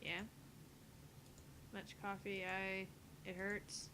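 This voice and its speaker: A woman with a medium-pitched voice chats casually as she co-hosts a gaming video. There is faint noise in the recording. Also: completely flat delivery, extremely slow. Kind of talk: casual chat while playing.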